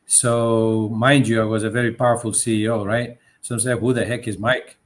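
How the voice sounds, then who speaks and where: A man's voice: medium pitch, little pitch movement, moderate pace. Middle-aged man. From Greece. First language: Greek. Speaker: guest, leadership coach. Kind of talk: conversational interview storytelling.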